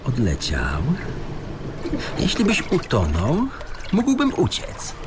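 A man acting in a radio play as a cornered gangster, mocking and easily panicked, with an excitable, taunting delivery. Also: medium pitch, takes it slowly, noisy background. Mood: tense, defiant.

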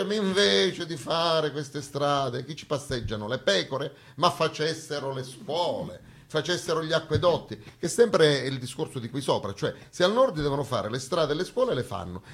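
A man delivers a theatrical comic monologue with emphatic, ironic phrasing and dramatic pauses. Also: mid-range voice, slightly rough. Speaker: stage actor performing a satirical monologue.

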